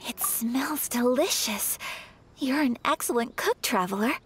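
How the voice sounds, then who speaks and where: A woman playing a gracious, gentle young noblewoman in a video game, speaking in a polite, formal, measured manner. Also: fairly high pitch, expressive, over music. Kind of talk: animated game character acting.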